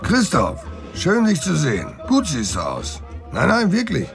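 An actor playing a sardonic, wisecracking, shady dealer in a radio crime drama. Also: male voice, a little high, wildly swooping pitch, a little slow, vocal fry, heavily acted. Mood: teasing, amused. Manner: sardonic, flippant, slangy. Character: breezy, shady drug dealer, wisecracking friend. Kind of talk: naturalistic German radio crime drama acting. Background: music behind the voice.

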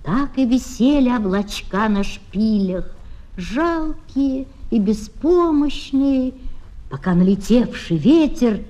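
Woman, children's storyteller, mellow, lively intonation, unhurried storybook delivery, vintage flexi-disc with music. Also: a little high. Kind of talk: scripted children's fairy-tale narration.

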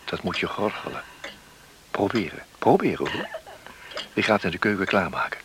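An actor in a Dutch radio play playing a kindly, practical village doctor with a measured, reassuring manner. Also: male voice, deep voice, expressive. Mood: calm, reassuring. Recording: noisy old radio play recording.

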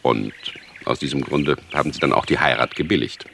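An actor playing a courteous, slyly ironic chief inspector in a radio crime play, speaking in a measured, insinuating, gravelly way. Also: male voice, extremely low pitch, background noise. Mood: probing, ironic.

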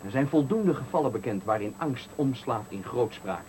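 An actor in a Dutch radio play playing a taunting, menacing stranger who speaks with deliberate, needling politeness in a Netherlands accent. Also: male voice, mid-range voice, lively intonation, a little mellow, close to an everyday voice. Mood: coldly accusing. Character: menacing, taunting male stranger cornering a man at night. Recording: faintly noisy 1980 radio recording.

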